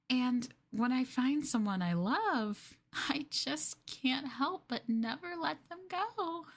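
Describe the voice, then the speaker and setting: A woman voicing a sweetly possessive, obsessive young girlfriend who holds her lover captive, in a soft, cooing, hesitant ASMR roleplay delivery. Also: mid-range voice. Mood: possessive, wistful. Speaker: streamer roleplaying for viewers.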